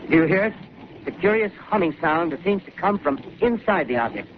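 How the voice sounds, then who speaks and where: An actor playing an on-the-spot radio reporter in a 1930s radio drama, talking in urgent, halting live commentary. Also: male voice, high-pitched, very animated. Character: excitable on-the-spot radio reporter, adult man. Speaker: field reporter. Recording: dull, hissy 1930s radio broadcast.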